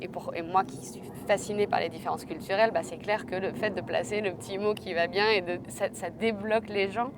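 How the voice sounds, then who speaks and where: A woman hosting an interview podcast, chatty and lively, speaking casually with her guest. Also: medium pitch, a little fast, few pauses, noisy background. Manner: lively and chatty, laughs easily. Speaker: podcast host.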